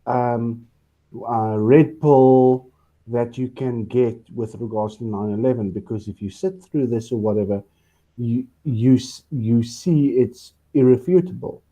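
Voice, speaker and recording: A man speaking as a guest on a group call, enthusiastic but halting, full of fillers. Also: medium pitch, dark tone. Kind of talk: casual group call chat. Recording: dull, muffled call audio.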